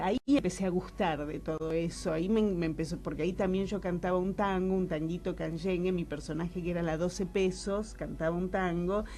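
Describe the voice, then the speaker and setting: A woman with an Argentine Spanish accent talking casually as an interview guest, reflective and expressive, often searching for her words. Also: fairly low pitch. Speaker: guest, actress and playwright.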